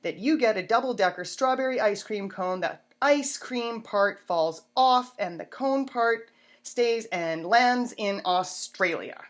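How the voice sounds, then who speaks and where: A woman's voice: mid-range voice, unhurried, natural voice. A woman voicing a grumpy, aggrieved young boy in a storybook, complaining in a sulky, emphatic way. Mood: spiteful. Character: grumpy, aggrieved young boy telling his bad day.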